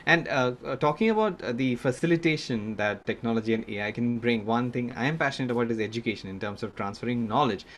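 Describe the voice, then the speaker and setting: A man's voice: mid-range voice, quick pace, hardly any pauses, fairly bright tone. A man hosting a podcast, asking interview questions in a hesitant way full of fillers and self-corrections. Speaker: podcast host.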